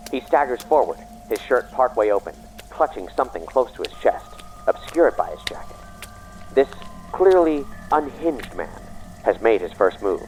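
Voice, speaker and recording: Man acting a grave mystery narrator, low voice, dramatic audio drama storytelling, music underneath. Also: poor audio.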